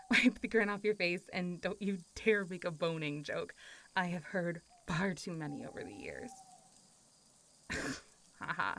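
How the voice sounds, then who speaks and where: A woman playing a chatty, playful, good-humored female zombie in a casual, teasing, expressive first-person roleplay audio. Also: lowish voice, unhurried, slight background noise. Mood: mock-annoyed, amused. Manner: giggly, teasing, expressive, mildly sweary. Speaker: voice actor.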